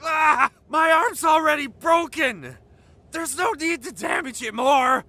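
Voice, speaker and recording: A man's voice: extremely high pitch, animated, slow, bright, forceful tone, no echo, exaggerated voice. A man playing a protective, exasperated teenage boy in an amateur script read, with urgent, emphatic delivery. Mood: pained, exasperated.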